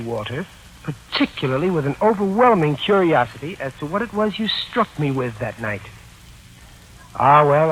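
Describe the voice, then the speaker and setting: An actor playing a burly, genial crook who speaks florid, over-formal English in a 1940s radio drama. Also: male voice, on the high side, a bit bright. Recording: noisy 1940s radio recording.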